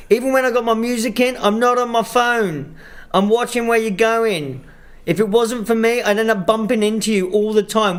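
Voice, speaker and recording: A man with an English accent that drifts toward Australian, co-hosting a casual podcast chat and ranting with plenty of swearing. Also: very high voice. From England. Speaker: podcast co-host. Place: Sydney.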